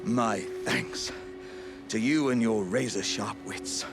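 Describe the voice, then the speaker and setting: A man's voice: on the low side, takes it slowly, music in the background. A man voicing a big, earnest, jovial living warrior jar in a video game, with theatrical, old-fashioned courteous speech. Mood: cheerful, grateful. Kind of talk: video game character acting.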